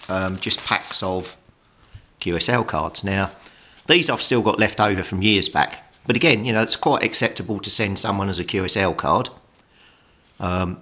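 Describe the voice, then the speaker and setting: British man, lower-pitched voice, casual tutorial style, plain-spoken, explanatory. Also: fairly bright tone. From United Kingdom. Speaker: hobbyist presenter.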